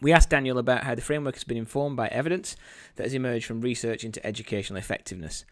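A male podcast host introduces his guest and asks questions in an upbeat, conversational way. His voice is bright and forceful at a medium pitch. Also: racing. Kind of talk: podcast interview.

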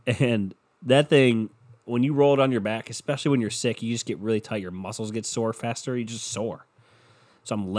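Young man, stuffy nose, sore throat, profane, rambling, casual podcast host. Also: lowish voice. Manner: loose, profane, rambling and jokey. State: sick and stuffy-nosed. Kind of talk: casual solo podcast rant.

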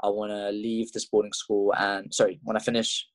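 A man's voice: lowish voice, completely flat delivery, normal speed, no echo. A young man from Russia with an English accent, a fighter telling his story in a casual interview, rambling with lots of fillers and restarts. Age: young adult. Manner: rambling, laid-back, many fillers and restarts. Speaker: MMA fighter guest.

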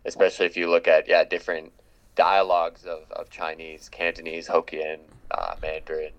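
A man's voice: sits low, completely flat delivery, normal speed, muffled recording, a crowd in the background. A man hosting a casual conversation, talking thoughtfully and hesitating with fillers.

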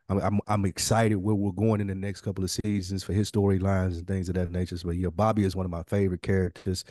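Male podcast co-host, lowish voice, dry sound, colloquial delivery with repeated phrases. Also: darkish tone, dry recording. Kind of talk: casual chat.

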